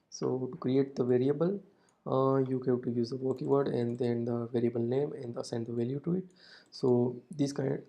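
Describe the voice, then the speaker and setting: Flat-voiced man whose first language is Hindi. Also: medium pitch, creaky. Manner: explains step by step with frequent "uh" fillers and non-native phrasing. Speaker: programming tutor. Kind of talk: screen-recorded coding tutorial.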